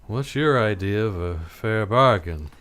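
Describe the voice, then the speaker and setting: An actor playing a tough, cynical private detective in a radio play, with clipped, sardonic delivery and a clear voice. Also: male voice, fairly low pitch, expressive, unhurried, fairly bright tone. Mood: cool, casual. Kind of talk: old-time radio play acting.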